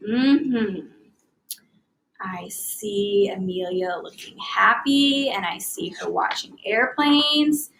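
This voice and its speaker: A woman teaching young children in an expressive, carefully enunciated read-aloud style, speaking as an elementary reading teacher. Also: a little high, poor audio.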